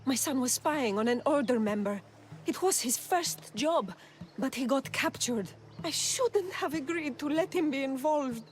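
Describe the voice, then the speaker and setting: A woman's voice: high voice, animated, unhurried. An actress playing a distraught, injured mother in a video game, pleading in an anguished manner. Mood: sorrowful guilt. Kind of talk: video game cutscene acting.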